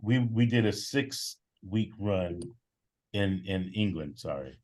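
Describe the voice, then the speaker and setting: A man, a bass singer, telling stories in a casual conversation, animated and full of exclamations. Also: slow. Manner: animated, breathless storyteller with exclamations. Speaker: bass singer in an a cappella group.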